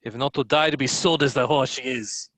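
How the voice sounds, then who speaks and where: A man's voice: medium pitch, a little fast, a bit bright, slight background noise, natural voice. A man playing a contemptuous, taunting soldier in a historical play reading, with sneering, declamatory archaic speech. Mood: bitter contempt. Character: contemptuous, taunting soldier, bitter toward condemned prisoner. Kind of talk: dramatic table read of historical play.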